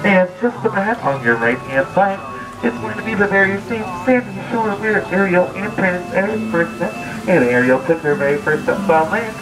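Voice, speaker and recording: A man working as a boat ride guide, narrating a scripted storytelling tour with theatrical, drawn-out emphasis on key words. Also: sits high, lively intonation. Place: outdoors aboard a tour boat. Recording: distant amateur recording with loud background music. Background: music and ride ambience.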